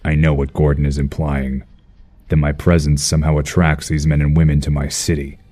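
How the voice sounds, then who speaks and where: An actor playing a brooding, stern vigilante detective, narrating noir style in a raspy, terse, measured voice. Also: male voice, a bass voice, little pitch movement, mellow. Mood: grim, brooding. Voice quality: husky, raspy.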